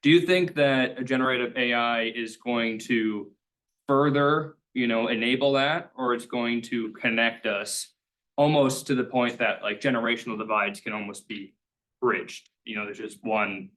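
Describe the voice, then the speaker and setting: A young man in the audience asks a question. His voice is bright and forceful in tone, yet he sounds hesitant and keeps searching for the right words. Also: medium pitch, moderate pace, rough recording. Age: young adult. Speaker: audience member asking question.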